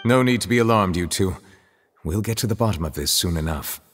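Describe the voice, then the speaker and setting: Game official: lowish voice with wide inflection, formal, composed and commanding, music clearly behind. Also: male voice, expressive. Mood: calm, grave. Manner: formal, measured, authoritative. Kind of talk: dramatic video game acting.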